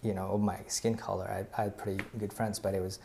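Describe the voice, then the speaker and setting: Guest speaker: a Tagalog-native man with an earnest, halting delivery and a gravelly voice. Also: on the low side, flat delivery, a little mellow, a little hiss or hum. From Philippines. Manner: earnest, many fillers and restarts. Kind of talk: casual faith conversation, personal story.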